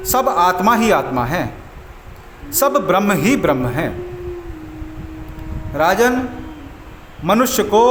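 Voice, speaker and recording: A man voicing a serene, humble enlightened sage in a devotional story, teaching in a solemn, deliberate manner. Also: high voice, noisy background. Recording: echoey voice over music.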